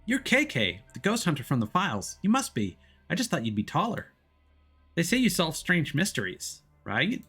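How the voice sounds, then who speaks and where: A man's voice: a little high, huge pitch swings, normal speed, fairly bright tone, slightly rough, soft background music, pitched above the performer's own voice, heavily acted. A man voicing a nervous, lonely young boy, hesitant and earnest, in a video game story. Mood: nervous, awed.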